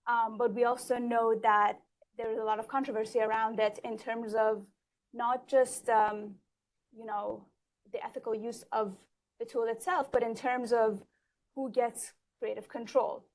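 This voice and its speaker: A woman speaking on a panel at a live event, explaining her work in a measured way. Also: on the high side, flat delivery. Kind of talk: panel introduction. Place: event stage in a large hall.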